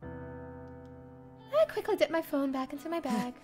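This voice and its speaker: A young woman with a high voice reads a first-person story expressively. Her intonation swings in a lively way, and music plays behind her. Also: takes it slowly. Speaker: streamer reading a visual novel. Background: game music.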